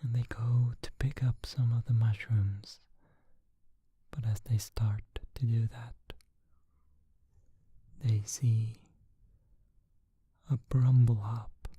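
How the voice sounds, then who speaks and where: Very slow, dark whispering storyteller. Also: medium pitch, slightly rough, dry recording, lo-fi sound. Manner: whispering, slow and soothing, thinking aloud.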